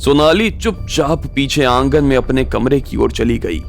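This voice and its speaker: A man's voice: mid-range voice, measured pace, vocal fry. A man narrating a scripted audio story as its storyteller. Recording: music bed behind the voice.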